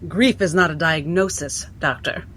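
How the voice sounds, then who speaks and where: A woman's voice: low voice, normal speed, a bit bright, slight background noise. A woman playing a coldly probing interrogator in a 1960s-set drama, formal, pointed and pressing. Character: relentless, coldly probing female interrogator questioning a doctor. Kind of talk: 1960s cinematic drama acting.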